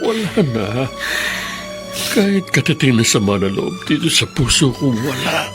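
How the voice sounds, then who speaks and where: A man's voice: medium pitch, big pitch swings, normal speed, music in the background, lightly acted. A man acting an older, loving, distressed father in a radio melodrama, pleading with emphatic emotion. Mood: tender, urging.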